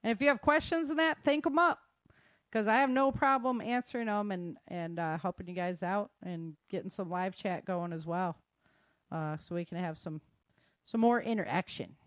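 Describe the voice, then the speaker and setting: A woman's voice: mid-range voice, normal speed, no room sound. A woman chatting casually as host of a live show, informal and slangy, with exclamations.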